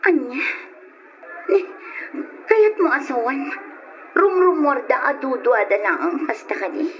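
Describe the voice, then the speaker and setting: Heartbroken young woman, widely swinging pitch. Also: expressive, slow pace. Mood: shocked. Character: heartbroken betrayed young woman, Heidi, bitter and wounded. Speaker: radio drama actress. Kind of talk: old-fashioned Ilocano radio drama acting. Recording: muffled, noisy old radio recording.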